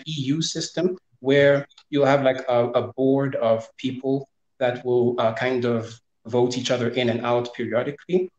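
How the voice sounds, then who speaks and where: A man asking a question in an online group discussion, hesitant and halting, rephrasing as he goes. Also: medium pitch, a little mellow, echoey room, poor audio. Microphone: video call.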